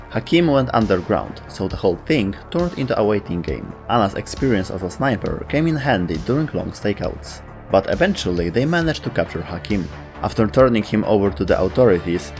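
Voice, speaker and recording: A man with a fairly low voice delivers scripted narration for a game lore video. He speaks as a storyteller over a music bed.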